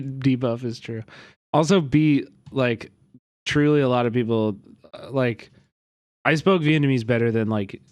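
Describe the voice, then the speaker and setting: A man's voice: mid-range voice, a little mellow, no echo. Guy streaming, reacting to a vid, rambly and sweary, dry mic sound. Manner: rambling, swears casually, lots of fillers. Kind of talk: livestream reaction commentary.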